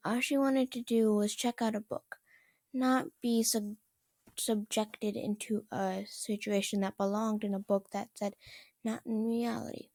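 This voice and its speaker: A woman narrating a story as an amateur reader, halting and often stumbling over words. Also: medium pitch, dark tone, dry recording. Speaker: fan fiction reader.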